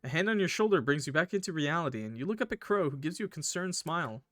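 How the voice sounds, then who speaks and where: Bright-voiced man doing a casual let's play. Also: on the high side, expressive, fast, no echo, studio quality. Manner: informal, crude joking asides. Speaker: gaming video host. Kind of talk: casual let's play, reading visual novel narration aloud with commentary.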